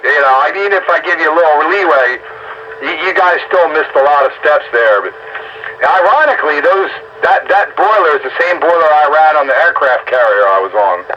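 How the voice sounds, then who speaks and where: A man with a fairly high, bright voice chats casually on the radio. He explains things emphatically, through a dull transmission with faint noise. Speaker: amateur radio operator.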